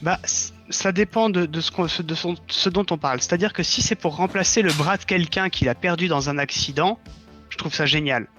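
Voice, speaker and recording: A man's voice: on the high side, fairly quick, fairly bright tone, muffled recording, faint music behind. A man speaking as a guest in a conversational interview, explaining his views earnestly. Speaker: interview guest, audio fiction writer.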